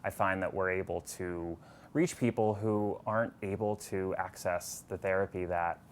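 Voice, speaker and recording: A man with a very deep voice speaks earnestly, now and then restarting a word. A faint hiss sits under his promotional interview. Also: steady tone, normal speed.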